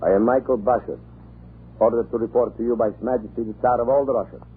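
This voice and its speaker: A husky, self-assured imperial inspector. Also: male voice, medium pitch, steady tone, fast. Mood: formal, commanding. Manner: formal, cool, drily amused. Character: self-assured, formal male government inspector of the Tsar. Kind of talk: 1940s radio drama acting. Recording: muffled old radio broadcast.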